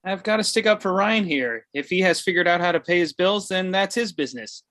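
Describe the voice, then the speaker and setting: A man's voice: high voice, lively intonation, brisk, fairly bright tone, no echo, studio quality. A man playing a blunt, penny-pinching middle-aged father in a comedy script table read.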